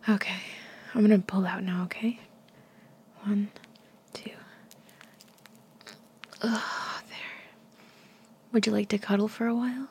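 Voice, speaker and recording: A woman whispering an intimate audio roleplay, breathy, teasing and commanding. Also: medium pitch, steady tone, measured pace, pauses often, darkish tone.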